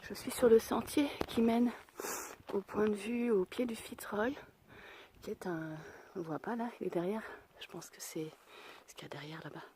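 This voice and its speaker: A young woman talks to a handheld camera as she hikes a mountain trail, her voice hoarse from a cold and a little winded. Her tone is mellow and she thinks aloud with easy self-deprecation. Faint outdoor sound sits behind her. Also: medium pitch, moderate pace, pauses often, slight background noise. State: out of breath. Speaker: hiker vlogging a mountain trail. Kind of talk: casual spoken travel vlog.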